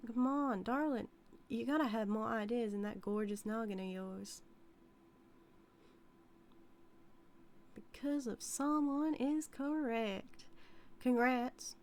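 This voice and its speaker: A woman's voice: on the high side, measured pace. A woman playing an obsessive, dominant outlaw cowgirl in an audio roleplay, with folksy, drawling, teasing Western talk. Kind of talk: dramatic audio roleplay monologue to the listener.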